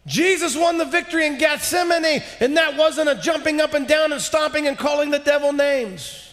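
A man in his forties delivers an impassioned sermon in a large church sanctuary, his voice strikingly high. He swings from shouted peaks to low, hushed lines. The headset mic catches a long reverb trailing through the hall. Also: moderate pace. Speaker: preacher.

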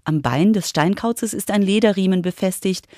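A woman's voice: mid-range voice, moderate pace, darkish tone, no echo, clean studio sound. A woman reporter reading scripted narration for a children's radio feature.

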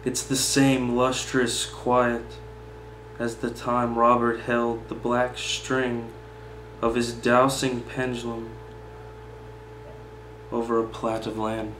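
A young man speaks slowly in a mid-pitched voice that barely rises or falls. His delivery is plain and unhurried. The small room adds a noticeable echo, and he sits a few feet from the camera's microphone. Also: completely flat delivery, a bit bright. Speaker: poetry reader. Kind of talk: poem reading, then informal personal commentary. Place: small indoor meeting room, seated at table. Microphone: camera mic a few feet away. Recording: roomy echo with faint background hum.

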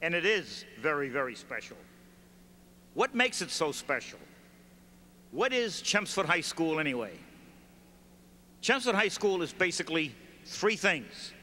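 An older man giving a formal commencement address at a podium, reading from notes in a measured, steady cadence. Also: fairly high pitch, a little hiss or hum. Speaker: commencement speaker, former school staff. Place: large gymnasium stage at a podium. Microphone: podium microphone. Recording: reverberant hall with faint ambience.

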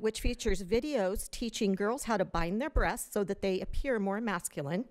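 A woman giving public comment in a large hotel meeting room, indignantly reading news stories aloud from a prepared text at a podium microphone. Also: mid-range voice.

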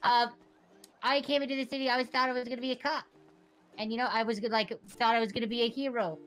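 A woman's voice: fairly high pitch, level tone, fast, a bit bright, soft background music, low-quality recording. A woman doing casual improvised game roleplay in an earnest, rambling way with many restarts. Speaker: online roleplay player.

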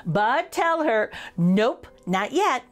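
Woman, children's story reader. Higher-pitched, unhurried delivery, playful and expressive, talking directly to kids. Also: faint music behind. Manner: addresses young listeners directly, playful. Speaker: picture-book reader for children. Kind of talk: expressive children's read-aloud.

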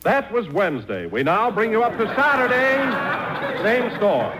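A man playing a brisk announcer narrating an old-time radio comedy sketch. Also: sits high, very animated, heavy background noise. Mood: brisk announcing.

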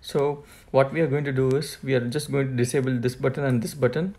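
Man with a creaky voice, giving setup instructions. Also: mid-range voice, fairly even intonation, fast talker, warm tone. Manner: step-by-step, plain instructional. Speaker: tutorial presenter. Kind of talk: hands-on product setup walkthrough.

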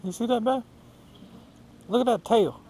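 An excitable man with a Southern US accent who repeats himself, chatting casually on a fishing vlog from a small open boat. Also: extremely high pitch, moderate pace. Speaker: fishing vlogger. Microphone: action camera, worn or mounted nearby. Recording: outdoor action camera with background noise.

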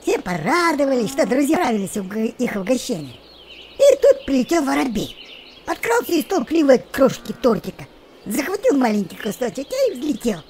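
High-pitched, animated woman telling a children's story. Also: normal speed. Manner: expressive, animated. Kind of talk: scripted children's story narration. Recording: noticeable background noise with birdsong. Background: birdsong and nature ambience.

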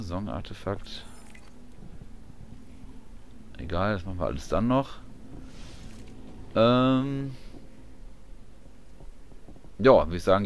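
A man giving casual gameplay commentary, muttering along as he reads menu items, with game sound behind him. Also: low-pitched. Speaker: gamer commentating his playthrough. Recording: clear background noise, game ambience.

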